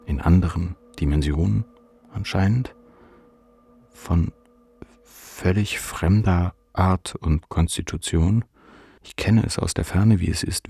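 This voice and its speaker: An actor playing a despairing, ashamed young man of twenty-four who narrates his depression in a bleak, measured radio drama monologue. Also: low voice, creaky, darkish tone, breathy edge, dry recording, full character voice.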